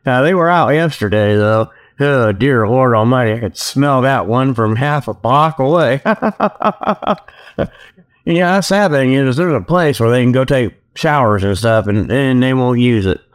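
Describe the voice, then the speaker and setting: A man's voice: mid-range voice, a little slow. A man hosting a comedic show in a folksy, joking, easily sidetracked monologue, talking into a tablet while sitting outdoors. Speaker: host of a comedic report show. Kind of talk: rambling casual humorous monologue. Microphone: tablet's built-in mic, resting on leg.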